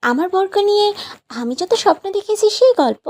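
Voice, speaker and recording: A woman's voice: very high-pitched, animated, moderate pace, a little mellow. A woman playing a playful, sweetly bossy young new bride in a romantic audio story, with a pouty, teasing, insistent manner.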